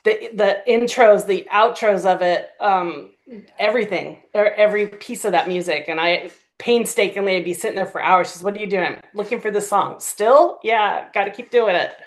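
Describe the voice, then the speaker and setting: A woman's voice: medium pitch, normal speed. A woman guest on a podcast, chatting casually and recounting her creative work in anecdotes.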